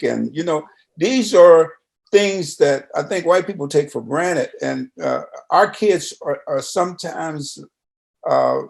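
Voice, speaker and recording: A man's voice: on the high side, gravelly, a little mellow. An older man in casual conversation, rambling along and checking in with questions.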